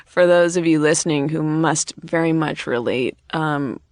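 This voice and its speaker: A woman's voice: low voice, moderate pace, a little mellow, dry recording, studio quality. A woman hosting a comedy podcast, chatting in a rambling way with lots of fillers and frequent laughs. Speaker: podcast host. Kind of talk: casual comedic chat.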